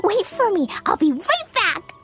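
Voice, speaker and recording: An excitable little girl in a video game, her voice sitting very high and swooping about as she bursts out with exclamations. She talks slowly and bubbly, with game music playing clearly behind her. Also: exaggerated voice. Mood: puzzled. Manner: bubbly, exclaiming, humming while searching.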